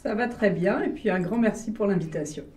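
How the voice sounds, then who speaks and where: A relaxed woman with a Parisian French accent speaks in a mellow, dark voice. She sits somewhat back from the microphone, so the sound is roomy, with echo around it. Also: mid-range voice, fairly quick, far from the mic. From France. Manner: relaxed, laughs easily. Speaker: guest. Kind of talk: radio interview.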